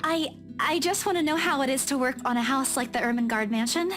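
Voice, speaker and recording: A high-voiced young woman in a visual novel game. She is polite but easily flustered, and she stammers and hesitates as she defends herself. Soft guitar music plays behind her. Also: fairly quick. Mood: hesitant, awkward.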